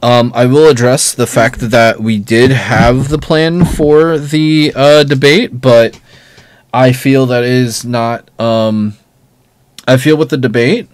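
Male podcast co-host for casual panel talk, hesitant and discursive, average pitch, very little room sound. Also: fairly even intonation, takes it slowly, clean tone, no room sound. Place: online group voice call.

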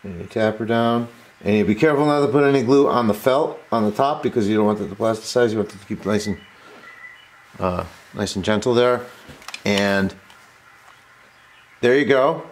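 A man's voice: fairly low pitch, moderate pace, long pauses. A man giving a casual hands-on tutorial, rambling and interrupting himself as he goes. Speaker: host of a repair tutorial. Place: indoor workbench. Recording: noticeable steady background noise.

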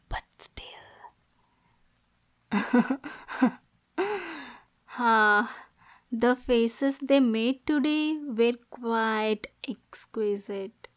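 Woman acting a high-voiced possessive yandere girlfriend. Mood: coldly scheming. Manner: cooing pet names, switching between soft menace and cursing. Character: possessive young yandere girlfriend, manipulative and unstable. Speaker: ASMR voice actor. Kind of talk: ASMR roleplay acting.

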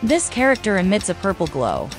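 A woman's voice: medium pitch, wildly swooping pitch, fairly quick. A woman reading scripted quiz narration as the video's host. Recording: voice-over under loud music. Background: loud music.

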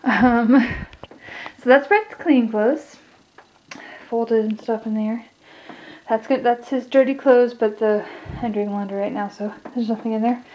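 A young woman with a fairly high voice chats her way through a house tour, rambling and breaking off into distracted asides. She is filmed on a handheld phone at arm's length as she walks around indoors. The audio is dull and muffled, with clear handling noise. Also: fairly even intonation, a little slow. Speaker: vlogger. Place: house, indoors, walking room to room. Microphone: handheld phone camera at arm's length.

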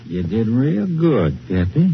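An actor playing a cold, bullying cattle thief on the run in a radio drama, mocking and threatening. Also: male voice, mid-range voice, big pitch swings, slow. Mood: cold menace. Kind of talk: 1950s radio drama acting. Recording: muffled old radio recording, faint hiss.

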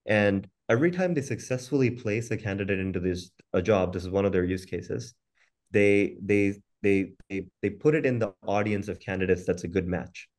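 A man's voice: lowish voice, moderate pace, a little mellow. A man giving an informal, conversational webinar presentation over a video call, often repeating words as he goes. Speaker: company founder presenting product.

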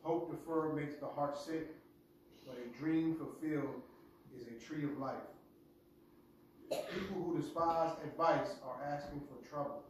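A man's voice: fairly high pitch, fairly even intonation, measured pace, pauses often. Middle-aged guy doing a bible lesson for kids, really echoey room, mic far away. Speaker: group leader teaching youths. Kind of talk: scripture reading and informal Bible lesson. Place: large living room with stone fireplace, indoors. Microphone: phone camera a few metres away. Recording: echoey room, distant phone, background noise.

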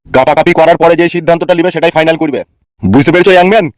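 A man's voice: fairly high pitch, very fast, dry recording, natural voice. Casting: man as blunt senior cop for comic cartoon, weary and stern, racing delivery, close dry sound. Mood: stern, lecturing. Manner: lecturing, blunt. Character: stern, weary, crude-tongued male senior police officer.

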